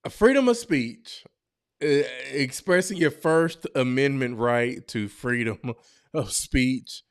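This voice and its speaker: Slow, smooth-voiced male podcast host. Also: medium pitch, no echo, studio quality. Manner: slangy, blunt and joking, with frequent exclamations. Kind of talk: casual reaction commentary.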